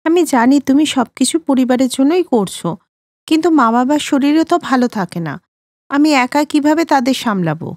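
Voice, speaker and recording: A woman's voice: high-pitched, expressive, moderate pace, darkish tone, no room sound, studio quality. A woman voicing a lonely, neglected young wife and mother in a cartoon story, plaintive and pointed. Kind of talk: cartoon story character acting.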